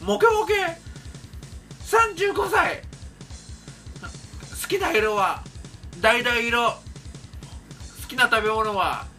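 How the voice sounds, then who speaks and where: A man's voice: extremely high pitch, very animated, drawn-out words, pauses often, pushed and bright, pitched above the performer's own voice, exaggerated voice. A man voicing a cheerful, childlike pet creature in simple, sing-song phrases over faint music.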